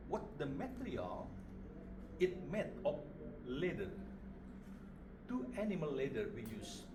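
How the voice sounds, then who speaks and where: Man, Indonesian accent, slightly high voice, short simple English phrases, craft demonstrator, loud background noise. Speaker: puppet maker giving a demonstration. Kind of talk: informal craft demonstration to visitors. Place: roomy puppet studio. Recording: roomy, distant mic, loud background noise.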